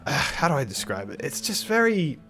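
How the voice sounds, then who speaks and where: A man's voice: on the high side, sing-song, a little fast, pushed and bright. A man hosting his art channel in casual talk over a speed drawing, a bit nasal and under the weather, rambling with many restarts. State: slightly sick.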